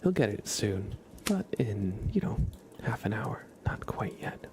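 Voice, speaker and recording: A man hosting an ASMR livestream in a soft, hushed whisper and low murmur, gently and unhurriedly talking to his viewers. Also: sing-song, unhurried. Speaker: livestream host.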